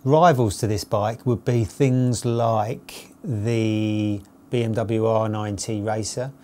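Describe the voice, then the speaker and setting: Male reviewer, forties, relaxed dark timbre, matter-of-fact and conversational, faint noise behind. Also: lowish voice, slow. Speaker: motorcycle road tester presenting a review. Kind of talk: unscripted spoken review to camera. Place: studio with dark backdrop, seated at table.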